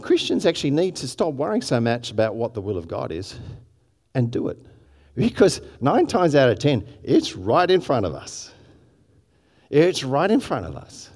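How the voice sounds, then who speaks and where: A man's voice: lowish voice, a little mellow, in a large hall. A man with an Australian accent preaching a sermon, chatty with many restarts and asides to the congregation. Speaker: pastor.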